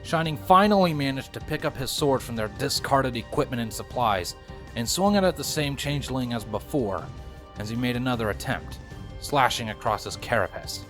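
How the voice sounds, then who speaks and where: A man's voice: mid-range voice, fairly bright tone. A man reading fan fiction as scripted audiobook narration, in a dramatic storytelling manner.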